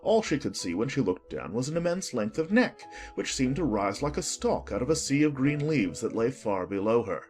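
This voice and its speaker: Male narrator for a children's classic audiobook: animated delivery, mid-range voice, soft music behind. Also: mid-range voice, moderate pace, few pauses. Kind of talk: scripted audiobook storytelling.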